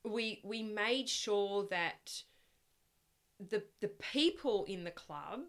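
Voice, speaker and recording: A middle-aged woman with a loud voice that projects, chatting as a guest in a casual interview, rambling and laughing often. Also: medium pitch, slow pace. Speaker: guest, parent of the host.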